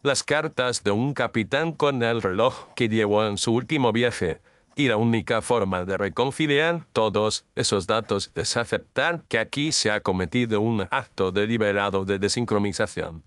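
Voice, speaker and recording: A man playing a composed, incisive detective in an audio drama, speaking formally and with measured declamation. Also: lowish voice, a bit bright, clear, resonant tone, dry recording, natural voice. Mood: composed, accusing.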